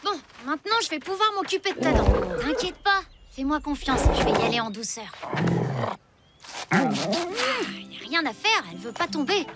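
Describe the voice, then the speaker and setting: A woman voicing an earnest, caring young prehistoric boy in a cartoon, with an expressive, emphatic delivery. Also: sits high, normal speed, over loud music. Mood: caring, reassuring. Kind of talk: French cartoon dub acting.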